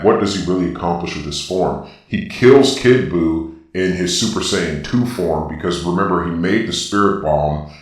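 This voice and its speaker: A man's deep voice runs through a robotic filter, swimming in heavy echo. He gives off-the-cuff ranking commentary, laying out his reasons one by one with firm emphasis. He sounds set back from the microphone. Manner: emphatic, explanatory, reasoning point by point. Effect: deep robotic Darth Vader-style voice filter. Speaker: video host. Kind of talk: informal opinionated ranking commentary, partly improvised. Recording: very echoey, distant from the mic.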